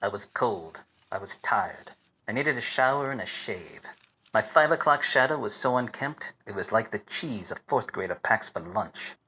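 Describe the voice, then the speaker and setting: An actor playing a self-serious, obtuse private eye in a parody of 1940s detective radio plays, with melodramatic hard-boiled delivery. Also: male voice, fairly low pitch, lively intonation, normal speed, noisy background, natural voice. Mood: brooding. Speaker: narrator and lead of a radio play. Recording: music under the voice.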